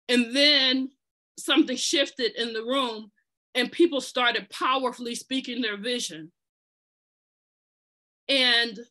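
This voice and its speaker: A woman's voice: on the high side, bright, forceful tone. A woman telling the story of an organization in an earnest, conversational way, partly reading aloud, with fillers and restarts. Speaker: podcast presenter telling an organization's history.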